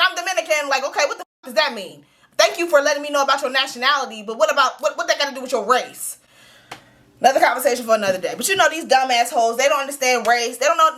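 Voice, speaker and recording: A woman hosting her own show, giving blunt, animated, profanity-laced commentary on a reality series. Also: high voice.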